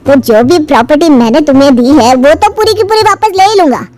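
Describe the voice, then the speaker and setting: Woman voicing a high-pitched shrewd rich father. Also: animated, a little fast, dark tone. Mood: stern, crafty. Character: shrewd middle-aged wealthy father, protective and unyielding. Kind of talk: animated storybook dialogue. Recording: music under the dialogue.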